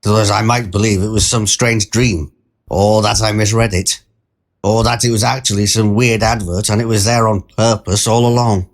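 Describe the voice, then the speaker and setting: A man's voice: on the low side, fairly even intonation, normal speed. A man narrating a first-person short story in a conversational, wry and reflective way. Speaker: storyteller, performer.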